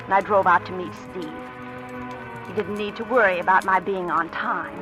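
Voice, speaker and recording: A woman's voice: lowish voice, fairly even intonation, normal speed, natural voice. An actress playing an anxious young woman with a guilty secret, tense and hesitant, in a 1940s radio drama style. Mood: brooding, guilty. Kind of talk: 1940s radio acting, with confessional first-person narration. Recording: old radio drama recording, music underneath.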